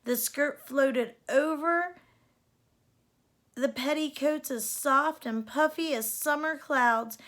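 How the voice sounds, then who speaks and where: A woman reading a children's story aloud as an expressive narrator. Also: sits high, slow. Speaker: storybook reader.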